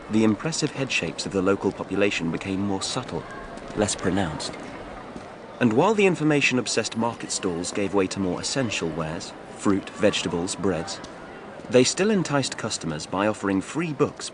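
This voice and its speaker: A man's voice: lowish voice, background noise. A man reading fiction as a dramatic audiobook narrator, from a script.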